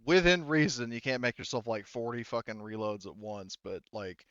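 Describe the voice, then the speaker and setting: A man's voice: medium pitch, moderate pace, fairly bright tone, clean tone, dry recording, highs cut off. A man talking casually as game master of a tabletop game, swearing freely.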